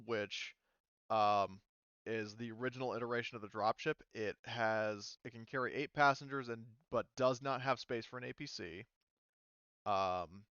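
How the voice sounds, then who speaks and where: A man's voice: on the low side, a bit bright, clear, resonant tone, dry recording, lo-fi sound. A man running a tabletop game as game master, talking loosely with many fillers over a voice call.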